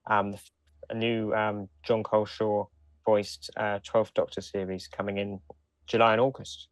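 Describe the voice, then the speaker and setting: A man's voice: on the low side, level tone, a little slow, dry recording. A man co-hosting a podcast in casual conversation. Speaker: podcast co-host. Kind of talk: casual chat.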